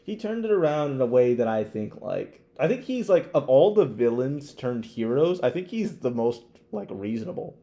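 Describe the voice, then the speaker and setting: A man's voice: medium pitch, very animated, normal speed, few pauses, warm tone. A man co-hosting a podcast in casual, slangy, sweary talk, often restarting and leaning on filler words. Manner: informal, hesitant phrasing peppered with "like". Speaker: podcast co-host. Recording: roomy, echoey sound.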